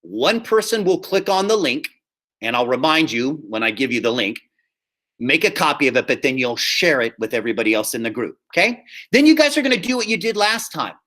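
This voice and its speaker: Male drama teacher, slightly high, bright forceful voice, informal and explanatory, frequent check-ins. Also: big pitch swings, a little fast. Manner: explanatory, often checks in with "okay?". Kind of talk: informal classroom instruction.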